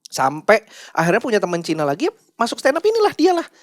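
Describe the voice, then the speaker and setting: Comedian host, male, lively up-and-down delivery, jokey banter. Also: sits high, quick pace, no room sound. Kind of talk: casual podcast chat.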